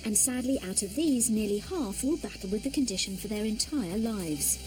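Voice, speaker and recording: A woman reading scripted documentary narration with a steady, even delivery. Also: mid-range voice, normal speed, music in the background, low-quality recording.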